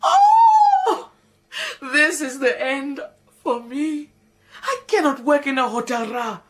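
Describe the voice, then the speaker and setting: A woman's voice: lowish voice, very animated, a little slow. A woman voicing a proud, indignant housekeeper, theatrical and prone to wailing. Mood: anguished. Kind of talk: radio drama acting.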